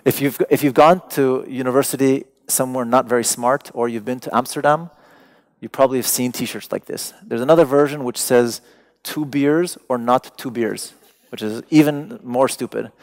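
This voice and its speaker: Man, native Persian speaker, public lecturer, gravelly fry, mid-pitched, deliberate emphasis, conversational delivery, on stage. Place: large dim hall with stage and seated audience.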